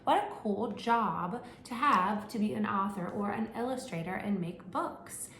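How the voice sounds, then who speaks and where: A woman's voice: medium pitch, a little slow. A woman teacher reading a picture book aloud to young children, asking them questions along the way. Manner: animated storytelling with questions to children. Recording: echoey room with faint hum.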